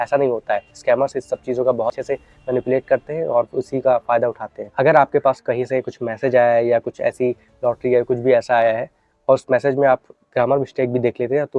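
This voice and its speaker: A man's voice: mid-range voice, warm tone, no room sound, lo-fi sound. A man hosting an informal explainer, advising viewers directly in an instructive, repetitive way and mixing in English words. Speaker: host of an explainer video channel.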